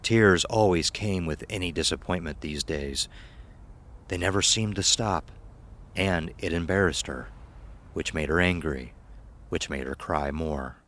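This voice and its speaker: A man's voice: sits low, measured pace, a bit bright. A man reading a scripted horror story as narrator.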